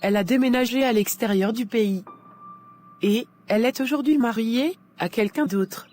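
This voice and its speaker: A woman's voice: fairly high pitch, normal speed, a little mellow, soft background music, close to an everyday voice. A woman voicing a frank young cartoon friend. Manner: direct, sympathetic.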